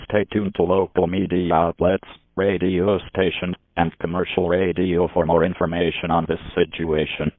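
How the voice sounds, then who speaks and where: Phone-like, flat, low synthetic male announcer. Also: moderate pace, long pauses. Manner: formal, stilted synthetic delivery. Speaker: emergency alert announcer. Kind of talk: scripted emergency alert announcement. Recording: tinny, phone-like alert broadcast.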